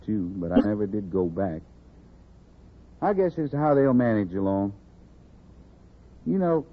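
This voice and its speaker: An actor playing a condemned outlaw in his thirties in a radio Western, with a slow, brooding, folksy American Western drawl. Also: fairly low pitch. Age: 30s. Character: condemned outlaw, thirty-six, bitter, regretful, unschooled. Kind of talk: 1950s radio Western acting. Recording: tinny, hissy 1950s radio recording.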